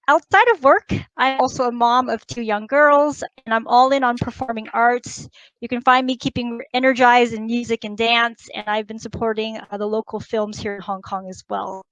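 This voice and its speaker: Bright-voiced woman presenting a corporate keynote, dry close sound. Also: on the high side, fairly even intonation, moderate pace, no echo, dull audio. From United States and Taiwan. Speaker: company HR executive presenting a keynote. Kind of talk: prepared conference presentation.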